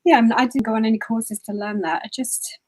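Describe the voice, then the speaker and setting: A woman's voice: a little high, steady tone, fast, darkish tone. A woman photographer answering interview questions in a chatty way, often saying "you know" and restarting sentences. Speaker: guest photographer.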